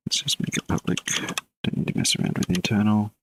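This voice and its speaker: A man's voice: on the low side, a little fast, slight background noise. A man streaming a live coding session, thinking aloud as he works and chatting casually with his viewers. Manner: relaxed, mutters while working, chats with viewers between code. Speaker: game developer streaming live.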